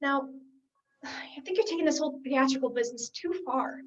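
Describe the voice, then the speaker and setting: Insistent, exasperated sensible type, played by a teen girl; high voice, theatrical emphasis, distant pickup. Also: a little fast, lightly acted. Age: teenager. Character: exasperated, insistent voice of reason coaxing a mime. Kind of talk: school one-act comedy stage acting. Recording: dull, distant school play recording.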